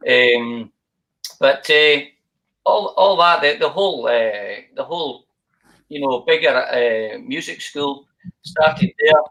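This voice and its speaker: A man's voice: mid-range voice, animated, slow, creaky, sharp tone, poor audio. A Scottish man, a guest musician, telling his story in a rambling, hesitant way with plenty of ums and restarts. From Scotland. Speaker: guest accordion player. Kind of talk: casual interview storytelling.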